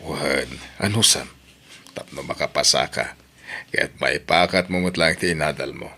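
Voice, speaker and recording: A warm, proud father in his middle years, voiced by a man. His voice sits very low and he speaks to his children with gentle, laughing affection. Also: normal speed. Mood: fond.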